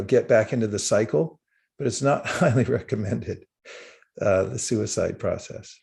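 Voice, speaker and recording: A man giving a livestreamed spiritual talk with slides, unhurried and halting, with small chuckles. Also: mid-range voice, gravelly, darkish tone. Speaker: spiritual teacher.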